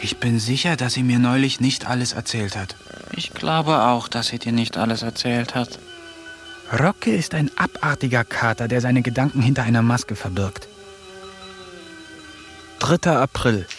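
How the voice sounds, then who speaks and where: Calm man narrating a radio diary. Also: medium pitch, moderate pace. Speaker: narrator and lead of a radio play. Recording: studio radio play with faint music.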